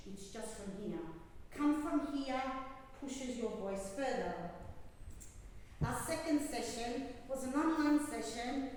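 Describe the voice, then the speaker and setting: A woman's voice: fairly high pitch, slight background noise. Woman coach speaking in an echoey hall. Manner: lively storytelling, acts out her own coaching instructions. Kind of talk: prepared speech to a club audience. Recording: echoey hall, distant mic, faint ambience.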